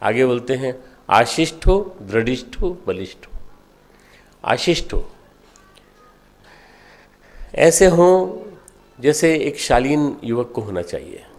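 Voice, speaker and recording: An older man delivers a motivational spiritual discourse, exhorting listeners with short, emphatic, repeated phrases and pauses between them. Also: medium pitch, a little mellow. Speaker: spiritual leader addressing followers.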